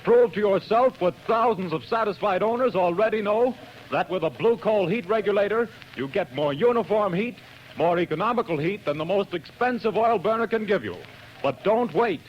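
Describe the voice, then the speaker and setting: A man announcing on 1940s radio in a crisp, emphatic, salesy way. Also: high-pitched, animated, normal speed, a little hiss or hum. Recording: dull old radio broadcast.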